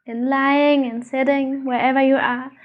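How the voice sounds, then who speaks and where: High-voiced slow woman guiding meditation. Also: level tone, highs cut off. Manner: soothing, unhurried, gentle instructions with pauses. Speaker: meditation teacher, channel host. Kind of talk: guided meditation.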